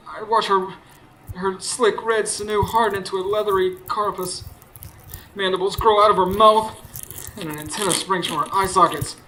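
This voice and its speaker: An anxious husband telling a horror story in a radio drama. His voice is fairly high and very bright, with an intense, dramatic delivery. The live stage recording carries faint background noise. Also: rough recording. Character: Clay, anxious husband narrating a supernatural horror tale. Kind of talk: dramatic first-person radio play narration. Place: stage before a live studio audience.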